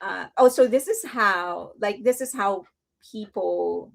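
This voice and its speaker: A woman's voice: mid-range voice, slow pace, creaky. Chatty woman with an accent. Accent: non-native English accent. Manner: chatty, rambling, laughs often; speaks softly and coos to a baby at times. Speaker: host of a fan news channel. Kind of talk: casual livestream chat.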